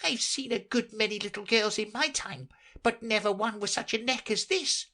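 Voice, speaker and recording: A woman's voice: normal speed, sharp tone. A woman voicing a fretful female pigeon that shrieks and complains. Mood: indignant. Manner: shrill, accusing, sobbing complaints.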